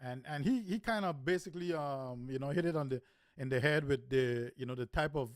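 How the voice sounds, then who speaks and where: A man's voice: medium pitch, brisk, no room sound, clean studio sound. A man hosting a live morning radio show, chatting loosely with many ums and you-knows as he asks his co-host questions. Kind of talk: casual radio chat. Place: radio studio.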